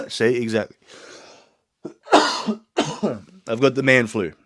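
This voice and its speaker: A man's voice: medium pitch, sing-song, extremely slow, no echo. A man hosting his own podcast in a small home studio, talking earnestly and motivationally while sick with a sore throat and a blocked nose. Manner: earnest, motivational, rambling asides. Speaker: podcast host. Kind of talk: casual solo podcast monologue.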